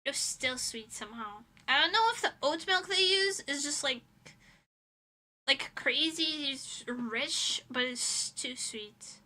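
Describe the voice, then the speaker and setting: A woman from Europe chatting casually on a livestream in an animated, dramatic manner. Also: high-pitched, slow, rough recording. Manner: animated, dramatic exaggeration, swearing. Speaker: livestreamer, VTuber.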